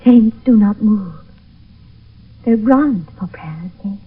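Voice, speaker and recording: Demure young maiden in love: husky, high, poetic radio verse, thin narrow-band broadcast. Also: female voice, animated, heavily acted. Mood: tender, coy. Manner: measured, poetic. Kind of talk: 1970s radio Shakespeare verse acting.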